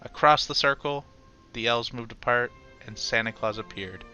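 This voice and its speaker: Male storyteller, bright tone, expressive and measured children's-book narration over music. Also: medium pitch, clear, resonant tone, dull audio. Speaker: storyteller reading a children's picture book aloud.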